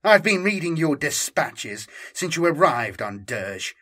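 A man's voice: on the high side, sing-song, unhurried, sharp tone, husky, pitched above the performer's own voice, heavily acted. Picture a stern, imperious woman inquisitor in a grim sci-fi audiobook, as a male narrator puts her on. She is curt and cold, and she snaps out her orders and explanations. Her voice rises above the narration and carries more force. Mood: commanding. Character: cold, commanding female inquisitor with augmetic eye. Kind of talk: audiobook character voice.

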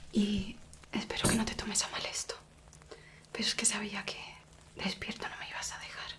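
A young woman from Spain with a Spanish accent, voicing a gentle, protective werewolf woman in an ASMR roleplay, whispering softly and coaxingly into a close mic. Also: medium pitch, little pitch movement, close to an everyday voice. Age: young adult. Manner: soft reassuring whisper, slow and coaxing. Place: small indoor room dressed as a cabin.

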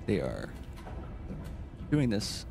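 A man with a gravelly voice doing casual gameplay commentary as a streamer. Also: lowish voice, wildly swooping pitch, very slow. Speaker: gaming streamer. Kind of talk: casual gameplay commentary, reading in-game text aloud.

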